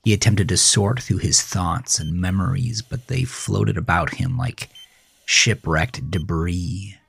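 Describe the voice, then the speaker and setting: Slow, dramatic male horror narrator. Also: sits low, creaky, fairly bright tone, breathy edge. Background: music.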